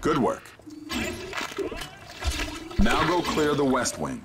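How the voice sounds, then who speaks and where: An actor playing a grave, urgent mission guide in a video game, giving deliberate, commanding instructions. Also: male voice, medium pitch, big pitch swings. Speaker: mission guide over radio. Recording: loud game music behind the voice.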